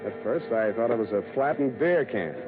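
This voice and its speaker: An American actor playing a wisecracking, hard-boiled private detective in a deadpan, sardonic 1940s radio style. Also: male voice, medium pitch, normal speed, lightly acted. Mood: sardonic, tough. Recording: tinny band-limited old radio broadcast, music.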